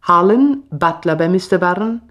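A radio announcer reading credits in a formal, measured and precise manner. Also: medium pitch, animated, a bit bright.

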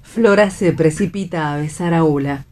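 A woman with a low voice narrates a radio play. She reads the stage directions in a scripted style. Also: moderate pace, warm tone.

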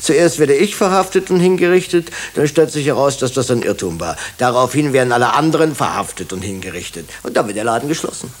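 An actor playing a fatalistic, sardonic hospital colleague under suspicion in a German radio drama, speaking with dry, laconic irony. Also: male voice, mid-range voice, big pitch swings, fast, hardly any pauses. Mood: mock gloom.

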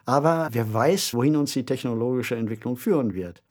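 A woman speaking as a podcast host in a deliberate, analytical, conversational way. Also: very deep voice, a little mellow.

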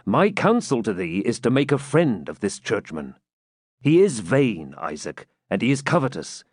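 A plain-spoken outlaw captain of storybook legend, acted by a male reader speaks with grave command in old-fashioned phrases. The mid-pitched voice moves expressively, recorded dry and close. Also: big pitch swings, normal speed, no room sound. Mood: grave counsel. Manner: grave, commanding, archaic speech.

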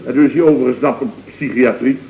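A man's voice: medium pitch, fairly even intonation, fast, reverberant, noisy background, close to an everyday voice. Man acting blustering gossipy boss, dull old recording. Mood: disdainful gossip. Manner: rambling, emphatic, repeats himself, mocking. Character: blustering, gossipy middle-aged male building contractor boss. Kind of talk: 1970s Dutch radio comedy sketch acting.

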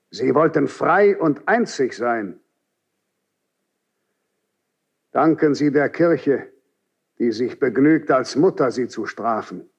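Stern, slow-speaking old inquisitor. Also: male voice, mid-range voice. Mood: stern, commanding. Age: older. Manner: severe, measured, declamatory, reproachful. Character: aged Grand Inquisitor, stern, unyielding old priest. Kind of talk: classical German radio drama acting. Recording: dull 1970s radio drama recording.

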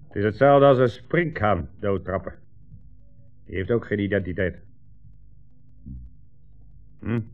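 An actor playing a menacing, sarcastic communist commissar in a 1960s radio drama, speaking imperiously and with contempt. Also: male voice, lowish voice, expressive, measured pace, pauses often. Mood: cold, sneering. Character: menacing communist commissar, sneering, bullying, coldly sarcastic interrogator. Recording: tinny, band-limited old radio recording, faint music.

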